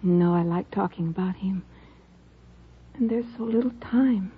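A woman playing a frail, devoted young dying wife, soft and wistful, in 1950s radio drama style. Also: medium pitch, measured pace, husky. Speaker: patient character. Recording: tinny old radio recording with music.

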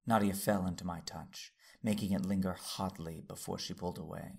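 An actor playing a calm, tender Jedi master, narrating in first person with a measured, formal manner and a gravelly, husky voice. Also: male voice, sits low, exaggerated voice.

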